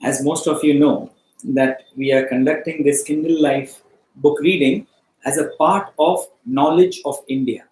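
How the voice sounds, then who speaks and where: Slow, deliberate man teaching in echoey room. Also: on the high side, a little mellow. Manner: deliberate, emphatic short phrases with pauses. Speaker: spiritual study teacher. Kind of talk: explanatory teaching of Vedanta philosophy. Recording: echoey, roomy sound.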